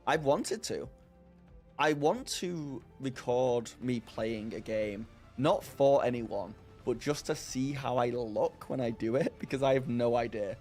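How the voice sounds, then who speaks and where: A man's voice: medium pitch, measured pace, darkish tone, faint music behind. Rambling, laughing male streamer. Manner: rambling, self-deprecating, laughs often. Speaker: streamer hosting a live chat. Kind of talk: casual live-stream chat, answering viewers.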